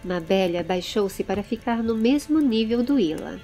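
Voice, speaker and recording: A Brazilian woman narrating a dramatized fantasy audiobook in an expressive, measured storytelling manner. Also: mid-range voice. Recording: music under the voice. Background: background music.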